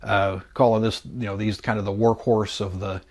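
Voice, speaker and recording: A middle-aged man hosting a vintage electronics show, explaining things in a casual, conversational and unhurried way with little asides. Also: lowish voice, level tone, a little mellow. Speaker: host of a vintage electronics channel. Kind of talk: casual product showcase talk.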